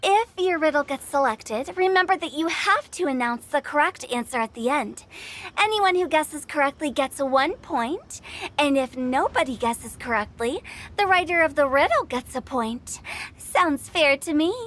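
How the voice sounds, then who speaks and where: A young hostess from an anime game. Her voice is very high and bright, with a perky, careful way of announcing. There is faint noise in the recording. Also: animated, moderate pace. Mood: upbeat. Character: perky young event hostess reading out riddles.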